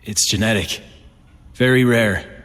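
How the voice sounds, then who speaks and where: An actor playing an embittered, guarded young heir in a game cutscene, speaking gravely and measuredly in an American accent. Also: male voice, fairly low pitch, a bit bright. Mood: grim, bitter. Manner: somber, measured. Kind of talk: dramatic video game cutscene acting. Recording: reverberant, music behind.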